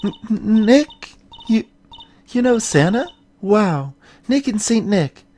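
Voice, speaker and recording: A woman plays an earnest young assistant from a video game. She is eager and innocent, easily flustered into stammers, with pitch that jumps around animatedly. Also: very animated, takes it slowly, warm tone, a little hiss or hum. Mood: startled, excited.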